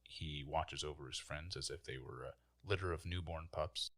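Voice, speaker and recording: A man's voice: rumbling low, moderate pace, a bit bright. A man in his twenties, a player on a tabletop game podcast, speaking with some theatrical flair. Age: 20s.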